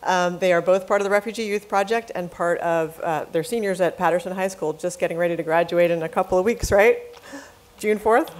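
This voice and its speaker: The woman on an arts summit panel, low-voiced and earnest, informally introducing her youth program to the room. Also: brisk, a little mellow. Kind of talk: informal spoken presentation. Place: live panel event before an audience.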